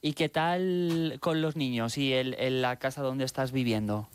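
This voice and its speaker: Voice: mid-range voice, a little slow, clear, resonant tone, no echo. A co-host asking a guest questions with encouraging enthusiasm. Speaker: radio show co-host. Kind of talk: casual interview chat.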